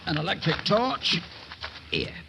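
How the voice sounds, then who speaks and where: A man's voice: unhurried, gravelly, noisy background, heavily acted. A fussy, blustering carnival man. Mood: indignant. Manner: excitable, high and fluttering, indignant. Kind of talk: 1950s radio drama acting.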